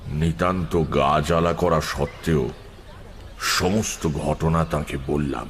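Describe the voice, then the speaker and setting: A man narrating a first-person horror story in a dramatic, suspenseful, expressive scripted style. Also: rumbling low, takes it slowly, a little hiss or hum. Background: prominent music.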